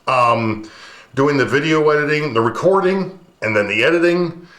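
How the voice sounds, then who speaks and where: A man hosting his own hobby channel, talking casually to his camera with a booming voice, rambling and full of ums, recorded on a camcorder's built-in mic. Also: normal speed, a bit bright. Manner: rambling, chatty, many ums. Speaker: model railroad channel host. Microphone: camcorder's built-in mic, no lapel mic. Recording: echoey room.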